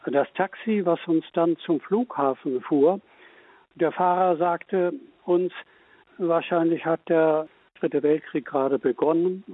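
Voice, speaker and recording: A man calling in to radio, recounting a personal memory in halting, hesitant phrases. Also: fairly high pitch, dry recording. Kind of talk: spoken personal recollection. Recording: narrow dry phone line.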